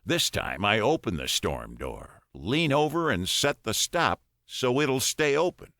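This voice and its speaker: A man reading a first-person short story as an audiobook narrator, with a measured, dramatic delivery. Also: mid-range voice, big pitch swings, bright, forceful tone, no room sound.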